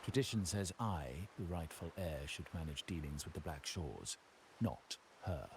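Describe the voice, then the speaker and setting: Stern crime-family uncle, very low voice, expressive swings, formal authority, game dialogue, hiss behind. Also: male voice, moderate pace. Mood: firm, proud. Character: stern, proud male heir of a powerful crime family, an uncle. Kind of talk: dramatic video game dialogue.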